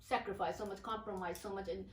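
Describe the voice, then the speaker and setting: A middle-aged woman from Pakistan, her Urdu, who talks as an interview guest with many pauses and fillers. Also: mid-range voice, steady tone, roomy sound, far from the mic. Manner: hesitant, many fillers and restarts. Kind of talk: casual interview.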